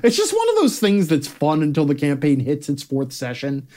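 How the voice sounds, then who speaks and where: A man's voice: fairly high pitch, wildly swooping pitch, moderate pace, dark tone. A man reading his own opinion column aloud as a scripted comic rant, brash and sarcastic, with theatrical emphasis and plenty of swearing. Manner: brash, profane.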